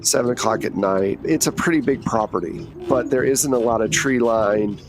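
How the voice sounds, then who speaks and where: A man's voice: medium pitch, normal speed, natural voice. A man voicing a professional woman handler in a tabletop game, briefing in a matter-of-fact, conversational way. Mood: businesslike.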